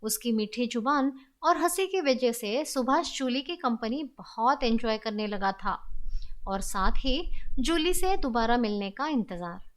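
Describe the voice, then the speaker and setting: A woman's voice: fairly high pitch, animated, unhurried. A woman storyteller reading a scripted horror story as narrator.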